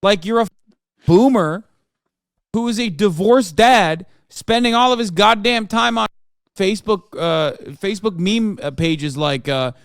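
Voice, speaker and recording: A young man co-streaming a reaction video, teasing and animated, full of slang. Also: sits high, a little slow, smooth, no echo, studio quality. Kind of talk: casual reaction stream commentary.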